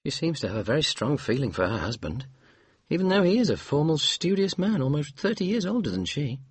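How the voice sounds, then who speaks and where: Man doing a calm young doctor, brisk, husky, lively pitch. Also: no room sound. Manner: brisk, measured bedside manner.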